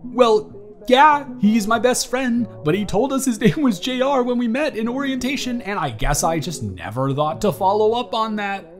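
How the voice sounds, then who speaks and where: A man's voice: sits high, expressive, normal speed, soft background music. A young man acting a wry, awkward but kind college student in an intimate, conversational roleplay audio, with a dry, teasing and hesitant delivery. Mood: puzzled, curious. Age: young adult.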